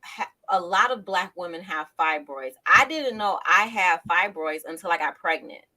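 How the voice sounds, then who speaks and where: A woman's voice: lowish voice, expressive, normal speed, pushed and bright, rough recording. A woman co-hosting a casual health chat who talks in a chatty, responsive way and teases her co-host. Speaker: podcast co-host.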